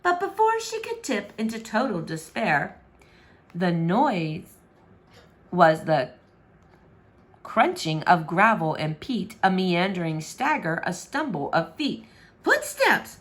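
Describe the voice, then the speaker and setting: A woman reading a rhyming children's book aloud in an expressive, rhythmic, dramatic narration. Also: on the low side, slow. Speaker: storybook reader.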